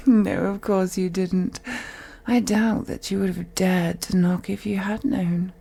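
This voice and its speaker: Woman playing a slow, breathy, seductive vampire lady. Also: medium pitch, steady tone, warm tone, heavily acted. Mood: mocking, menacing. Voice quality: breathy, often dropping into whisper. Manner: slow, teasing, condescending, haughty. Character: wealthy, seductive, possessive female vampire toying with captive. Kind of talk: scripted audio roleplay monologue.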